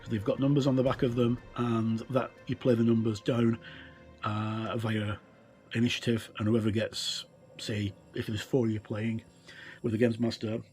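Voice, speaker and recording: A man's voice: mid-range voice, little pitch movement, normal speed, darkish tone, faint music behind. A middle-aged man giving a casual, unscripted walkthrough as a reviewer, explaining things informally with frequent hesitations. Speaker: tabletop game reviewer.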